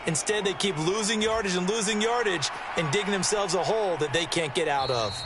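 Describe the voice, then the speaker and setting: Actor as chatty, higher-pitched American male football analyst. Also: fairly quick, over a noisy crowd, lightly acted. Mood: analytical, engaged. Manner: conversational, explanatory. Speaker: color commentator. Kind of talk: analytical American football video game commentary. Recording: loud music behind.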